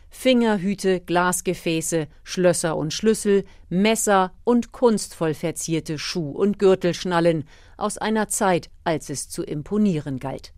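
A woman narrates a radio feature in a fairly low voice. Her delivery is scripted documentary storytelling, recorded close and dry with very little room sound. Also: a little mellow, no echo.

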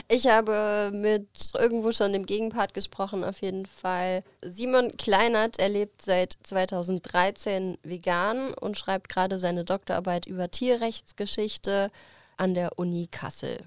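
A woman's voice: medium pitch, steady tone, unhurried, clear, resonant tone, no room sound, clean studio sound. Cheerful woman leading a podcast chat. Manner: cheerful, laughs often, leads the talk. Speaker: podcast co-host. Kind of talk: casual chat.

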